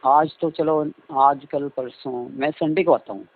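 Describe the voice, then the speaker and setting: A man's voice: on the high side, steady tone, a little slow. Guy acting as a married stepson sweet-talking on a phone call, thin tinny line, hesitant and suggestive, everyday voice. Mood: planning.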